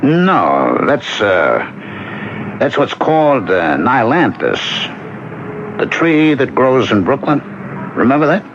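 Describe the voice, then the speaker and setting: An actor in an old radio drama playing a gentle, wistful older man with a hesitant, reassuring way of talking. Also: mid-range voice, big pitch swings, slow pace, pauses often. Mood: musing, friendly. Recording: muffled, noisy 1970s radio broadcast recording.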